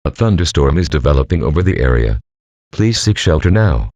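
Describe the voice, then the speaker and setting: A man's computerized voice reading a civil danger warning in a measured monotone. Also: very deep voice, highs cut off, noisy background. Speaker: automated alert announcer.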